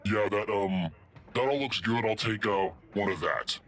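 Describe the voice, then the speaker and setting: Man playing a deep-voiced, hesitant big foodie. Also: a little slow, a bit bright. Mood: savoring. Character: big, food-obsessed, earnest space adventurer and amateur gourmet. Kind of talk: tabletop role-play in character.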